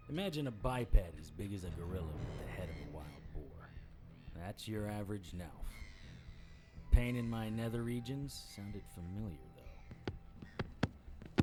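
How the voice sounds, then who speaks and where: A man's voice: on the low side, lightly acted. A man playing a wisecracking, defiant private investigator in an audio drama, with a cocky, sardonic delivery. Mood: wry narration. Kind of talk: audio drama acting with first-person noir narration.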